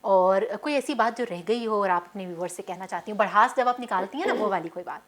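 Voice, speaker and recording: A woman's voice: mid-range voice, fast, a bit bright. A woman with a Pakistani accent hosting a casual chat show, playfully teasing and prompting her guests. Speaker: talk show host.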